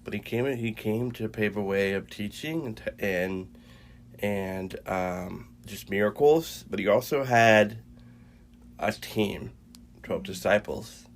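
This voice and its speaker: A man co-hosting a faith podcast, congested and a little raspy from a cold, talking in a halting way with many fillers and restarts. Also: lowish voice, slight background noise. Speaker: podcast co-host. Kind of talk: casual faith chat.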